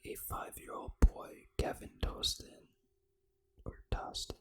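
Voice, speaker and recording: An ASMR host reading aloud in a hushed, fully unvoiced whisper, with deliberate phrasing. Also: poor audio. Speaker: ASMR gaming channel host.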